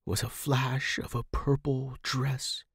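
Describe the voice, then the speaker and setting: A man's voice: mid-range voice, little pitch movement, slow, vocal fry, a bit bright, breathy edge, no room sound, clean studio sound. A man hosting a fiction podcast and narrating scripted horror in a dramatic style.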